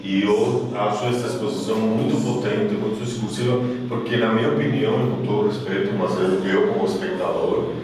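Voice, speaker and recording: A man from Cuba giving halting remarks at an art opening, with many fillers and restarts. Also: on the low side, steady tone. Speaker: exhibition visitor giving remarks. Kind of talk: spoken tribute at an art opening. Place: art gallery. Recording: echoey gallery, distant mic, crowd behind.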